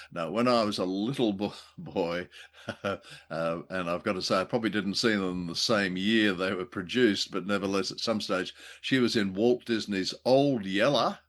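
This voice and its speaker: A man with a fairly low voice co-hosts a review show. He talks in a casual, conversational style, feeling his way through thoughts with pauses and hesitant phrasing. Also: a bit bright. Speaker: co-host of an old-time radio review show.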